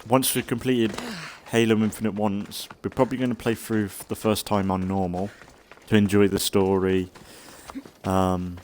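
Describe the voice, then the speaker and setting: A man giving casual, rambling commentary as a let's play host, thinking aloud in a halting way and sounding slightly congested. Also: on the low side, level tone, a little mellow, a little hiss or hum. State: slightly congested from an oncoming cold.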